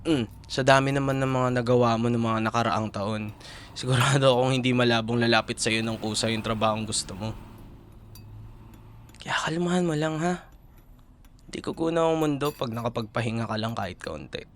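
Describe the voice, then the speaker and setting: A man's voice: mid-range voice, normal speed, a bit bright, a little hiss or hum, lightly acted. A young man with a Filipino accent playing a caring but exasperated college boyfriend in an intimate audio roleplay, talking casually and earnestly in mixed Tagalog and English. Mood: concerned, coaxing. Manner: casual Taglish, coaxing and earnest.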